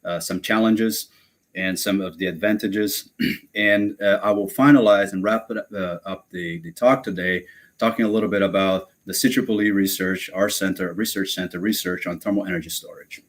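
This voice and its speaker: Brazil-born male lecturer, very low voice, halting and filler-heavy. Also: steady tone. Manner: hesitant, many fillers and restarts. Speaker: guest lecturer. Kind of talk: academic webinar lecture.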